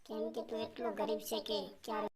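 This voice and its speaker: A woman's voice: on the high side, monotone, fast, natural voice. A woman voicing a haughty rich young woman in a cartoon story. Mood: scornful.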